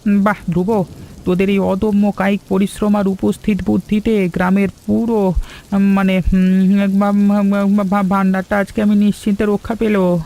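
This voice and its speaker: A middle-aged village uncle from an animated story, played by a man, speaks in a high voice. He is earnest and effusive, a loyal, grateful friend with an excitable streak. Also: moderate pace, creaky. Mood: worried, grateful. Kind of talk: animated cartoon story acting.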